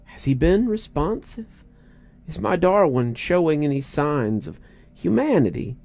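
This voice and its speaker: A man's voice: fairly high pitch, big pitch swings, a little slow, creaky, a little mellow, voice pushed up, exaggerated voice. A man voicing a grieving, desperately hopeful wife who coos and pleads in a quivering voice, as in a storybook reading. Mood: sorrowful hope. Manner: cooing, pleading. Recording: music behind the voice.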